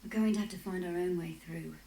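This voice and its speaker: A woman's voice: fairly low pitch, steady tone, quick pace, natural voice. An actress playing a wry, resolute woman who guides others through a shadowy fantasy city in a video game. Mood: impatient. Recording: roomy with faint noise.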